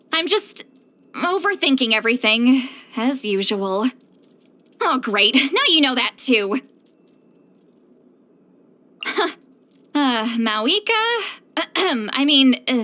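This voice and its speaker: A woman's voice: high-pitched, pushed and bright, heavily acted. A woman voicing a reclusive, grumbling shaman with a youthful voice in a video game, hesitant, self-conscious and dryly exasperated. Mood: flustered, awkward. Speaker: video game character voice actress. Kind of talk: scripted game character monologue.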